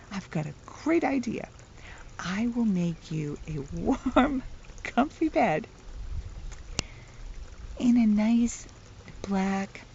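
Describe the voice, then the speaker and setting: Soft-voiced woman with a gravelly edge. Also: mid-range voice, a little hiss or hum. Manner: playful, chatty, laughs at her own jokes. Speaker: vlogger. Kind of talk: casual storytelling vlog. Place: outdoors, near the water. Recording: dull lo-fi outdoor recording.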